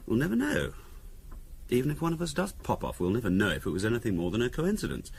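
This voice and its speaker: An actor playing a wry, teasing middle-class English husband in a 1970s radio drama, conversational with deliberate pauses. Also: male voice, medium pitch, huge pitch swings, creaky. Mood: wry musing. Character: teasing middle-class husband, dryly morbid, provocatively theorising. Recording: faint hiss on 1970s radio recording.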